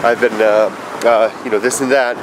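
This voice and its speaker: Stuffed-up fifties man, casual hesitant vlogger, recorded outdoors with clear traffic noise. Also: on the low side, flat delivery. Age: 50s. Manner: rambling, halting, with many fillers and restarts. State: stuffed up with allergies. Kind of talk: casual video message. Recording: noisy outdoor street recording.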